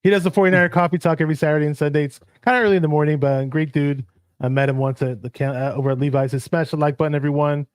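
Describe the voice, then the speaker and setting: A man whose first language is Arabic, co-hosting a casual sports livestream and shouting out viewers in the chat. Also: on the high side, fairly even intonation, very fast, no room sound, clean studio sound. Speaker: podcast co-host.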